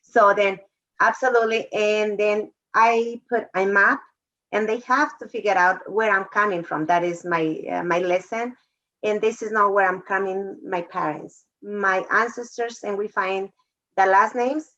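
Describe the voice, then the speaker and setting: A woman's voice: mid-range voice, steady tone. Woman teacher on a call. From Mexico. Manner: warm, emotional, halting phrasing. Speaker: fourth grade teacher. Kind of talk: video call discussion. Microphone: video call. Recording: dull lo-fi video call audio.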